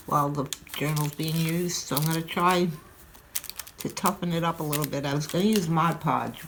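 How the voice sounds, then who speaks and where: An older woman hosting a craft tutorial, chatting and thinking aloud as she works, sounding a little stuffy and hoarse from a cold. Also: sits low, fairly bright tone, low-quality recording. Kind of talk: relaxed craft demonstration. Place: craft table at home, indoors. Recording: audible crinkling craft noise.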